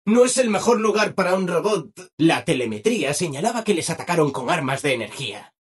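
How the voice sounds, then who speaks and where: High, animated, relentlessly cheerful robot. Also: male voice, fairly quick, husky. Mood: chipper, explanatory. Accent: Castilian Spanish. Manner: chirpy, over-eager, mock-polite. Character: relentlessly cheerful, eager-to-please male robot assistant. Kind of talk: scripted video game character acting.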